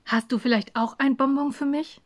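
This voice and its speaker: A woman takes on a young schoolboy in a children's story. He sounds high-pitched, blunt and curious, always a little puzzled and cheeky. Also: fairly even intonation, normal speed, natural voice.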